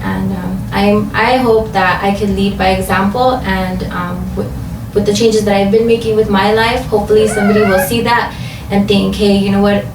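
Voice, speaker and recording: A woman from Los Angeles, a guest in a casual interview at home, talking in a relaxed, hesitant way with many ums. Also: medium pitch, normal speed. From Los Angeles, USA. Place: home craft room. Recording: roomy, distant, noisy home recording.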